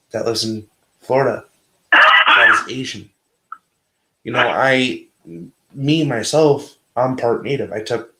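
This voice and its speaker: A man's voice: lowish voice, pushed and bright, echoey room, slight background noise, low-quality recording. A man hosting a casual, heated livestream chat, earnest and often stumbling over and repeating his words.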